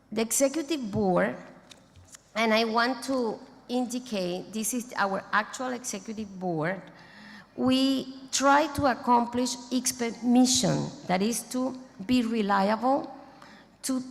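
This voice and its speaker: A woman, not a native English speaker, giving a formal closing speech at a conference, halting and restarting mid-phrase as she thanks organizers and names board members. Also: on the high side, creaky. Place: large conference hall. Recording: long hall reverb.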